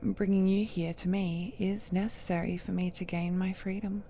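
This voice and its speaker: A woman playing an ancient godlike villainess in an intimate audio drama, seductive, possessive and falsely tender, with coy endearments and a breathy voice that often drops to a whisper. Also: medium pitch, level tone, warm tone, slight background noise, natural voice. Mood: pleased, welcoming. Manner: slow, coy, drawn-out endearments with teasing murmurs.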